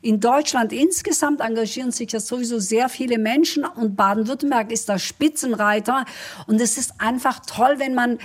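A woman's voice: a little high, normal speed, slightly rough. A middle-aged woman from Turkey, a native Kurdish speaker, answering interview questions about her home city in an earnest, enthusiastic way. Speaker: politician guest.

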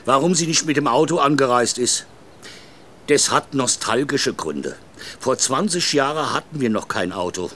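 A man's voice: medium pitch, animated, moderate pace, a bit bright, a little hiss or hum, lightly acted. An actor playing an observant, slightly nosy man at a country inn, narrating what he notices in the first person. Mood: observant, dry.

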